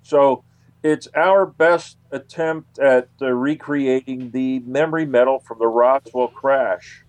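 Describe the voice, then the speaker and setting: A man answering as an interview guest, conversational and halting, repeating phrases for emphasis. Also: mid-range voice, steady tone, no room sound.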